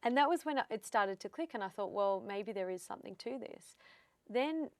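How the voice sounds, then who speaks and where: An Australian woman in her thirties chats easily and lively, slipping into the voices of people she quotes. Her voice has a gravelly, fry-tinged texture at middle pitch. The recording is close and dry, with no room sound. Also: moderate pace, no room sound. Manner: chatty storyteller, acts out quotes. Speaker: psychic medium guest. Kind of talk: casual interview chat.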